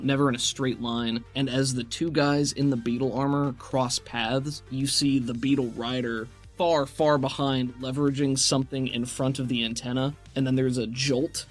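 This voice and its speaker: A man with a mid-pitched voice acts as game master, with faint music behind him. He describes scenes like a storyteller, then drops into informal rules talk. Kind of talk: narrating a tabletop roleplaying game, casual rules talk.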